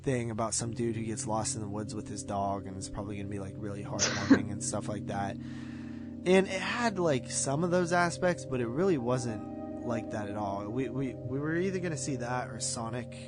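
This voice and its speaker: A man's voice: medium pitch, lively intonation, moderate pace. A man chatting casually as a movie podcast co-host, in a slangy, rambling way. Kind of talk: casual chat. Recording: music playing behind the voice.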